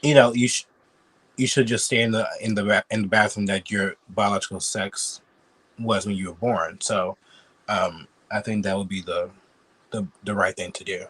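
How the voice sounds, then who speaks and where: A man's voice: on the low side, fairly even intonation, normal speed, fairly bright tone, breathy edge, rough recording. Sniffly man doing casual reaction commentary. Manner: chatty, blunt, swears freely. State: sick and sniffly. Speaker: YouTube commentator.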